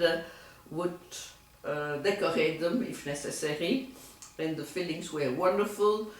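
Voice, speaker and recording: Slow, echoey older woman remembering. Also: low-pitched. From Belgium. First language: French. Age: 80s. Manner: unhurried, with frequent hesitations and restarts. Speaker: oral history interviewee. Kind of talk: reminiscing in a recorded interview. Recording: echoey room, mic far off.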